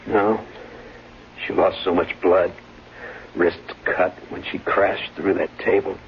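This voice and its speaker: A weary, anguished husband in a 1970s radio drama. His voice is deep and grave, on a dull, faintly noisy recording. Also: flat delivery, takes it slowly. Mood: weary, distraught.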